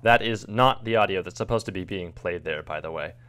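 Casual male screen-recording narrator, a video editor with a lowish voice, explaining things plainly. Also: fairly quick, a bit bright.

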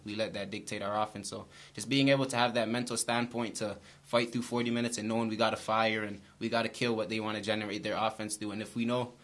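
A young male basketball player answers reporters quickly in a low, even voice that barely rises or falls. He speaks steadily into a table mic in a small brick-walled room, looping back over the same phrases. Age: young adult. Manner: flat, steady, repeats phrases. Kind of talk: postgame press conference answers. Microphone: table mic in front.

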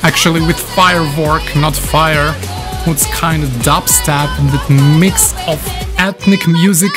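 A young man with a Ukrainian accent, hosting a casual music reaction, enthusiastic and quick to exclaim, speaking into a studio mic in a small room. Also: a little high, fairly even intonation. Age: young adult. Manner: enthusiastic, exclaiming and laughing often. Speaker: YouTube reaction host. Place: small indoor room with a patterned curtain. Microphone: studio microphone in front of him. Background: the song he is reacting to playing.

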